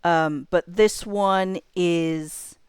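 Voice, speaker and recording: A woman's voice: on the low side, steady tone, extremely slow, smooth, no room sound, clean studio sound. A woman hosting a livestream, chatting casually to viewers in a joking way with wandering asides. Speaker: livestream host.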